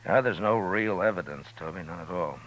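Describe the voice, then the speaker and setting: An American actor playing a terse, skeptical, world-weary frontier marshal in a 1950s radio drama, with a dry, probing manner. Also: male voice, deep voice, brisk, fairly bright tone. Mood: measured, wary. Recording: noisy vintage 1950s radio recording.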